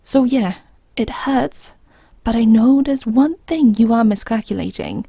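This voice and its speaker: Actress as steady, consoling friend, mellow, breathy, gently firm, audio drama. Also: female voice, on the high side, unhurried, no echo, clean studio sound, exaggerated voice. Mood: indignant, protective. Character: protective, loyal female friend, steady and consoling.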